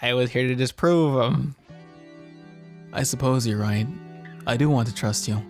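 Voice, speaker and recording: Medium-pitched man in casual gaming chat. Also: unhurried, soft background music. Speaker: co-player reading a visual novel aloud.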